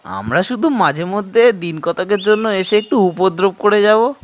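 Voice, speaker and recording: A man's voice: sits high, moderate pace, a little hiss or hum. A man narrating a scripted horror story in the first person, in a dramatic storytelling style.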